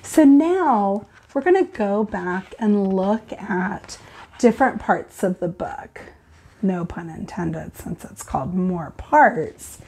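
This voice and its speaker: A woman teacher reading aloud and explaining to children in an expressive, deliberate, instructive way. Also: mid-range voice, slightly rough.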